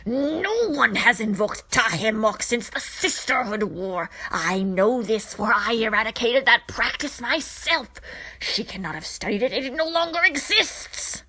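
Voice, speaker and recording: Woman voicing a snarling, husky, furious alien witch. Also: on the high side, lively intonation, measured pace, sharp tone, pitched above the performer's own voice, heavily acted. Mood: enraged. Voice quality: hissing, snarling. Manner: barking, commanding, threatening. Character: furious, menacing alien witch, commanding and threatening. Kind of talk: dramatized fan fiction reading.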